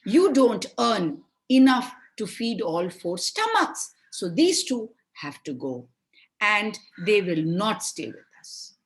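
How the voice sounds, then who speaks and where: A woman's voice: medium pitch, sing-song, slow pace, voice pushed up. Woman putting on an angry, scolding storybook mother. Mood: angry, threatening. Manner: scolding, emphatic. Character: angry, threatening, selfish mother in a fairy tale.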